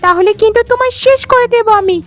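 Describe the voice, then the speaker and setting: A woman's voice: extremely high pitch, fast, soft background music. A woman voicing a haughty, spiteful young wife who scolds and threatens in a cartoon family drama. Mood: threatening, bossy.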